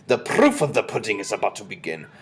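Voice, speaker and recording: A performer voicing an imperious female witch leader in a storybook, commanding and theatrical with drawn-out emphasis. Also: low-pitched, wildly swooping pitch, gravelly, soft background music, exaggerated voice. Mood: gloating, commanding. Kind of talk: storybook character voice.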